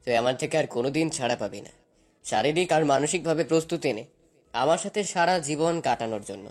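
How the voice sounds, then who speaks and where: A man's voice: fairly high pitch, animated, fast. A man playing a cruel, obsessive young husband in a romantic audio drama, with a taunting, commanding manner. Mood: menacing, taunting.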